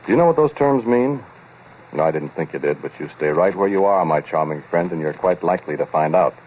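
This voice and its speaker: An actor playing an ominous, knowing scientist who confides a strange tale straight to the listener, deliberate and sardonic, in 1940s radio drama style. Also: male voice, low voice, lively intonation, slight background noise. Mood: sardonic, menacing. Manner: intimate, deliberate, sardonic, addressing the listener directly. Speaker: narrator and lead actor in a radio play. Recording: muffled vintage 1940s radio recording.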